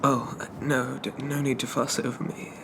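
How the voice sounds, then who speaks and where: A man playing a shy, polite undead zombie in a soft ASMR roleplay, stammering and hesitant in a gently formal way. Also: medium pitch, flat delivery, normal speed, vocal fry, background noise, close to an everyday voice. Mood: timid, reassuring.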